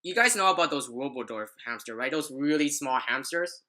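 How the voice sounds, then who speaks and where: A woman's voice: very deep voice, animated, brisk, sharp tone. A young adult woman telling a personal story in casual podcast chat, rambling and often stumbling over her words.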